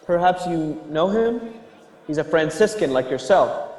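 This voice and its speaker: An actor playing a polite, earnest young gentleman in a radio drama, courteous and apologetic. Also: on the high side, expressive, unhurried. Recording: echoey hall-like reverb.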